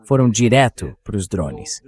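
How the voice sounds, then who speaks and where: A man with a fairly low voice acts as interview host. He lays out questions in a measured, explanatory manner, in the style of a translated voice-over. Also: very animated, darkish tone.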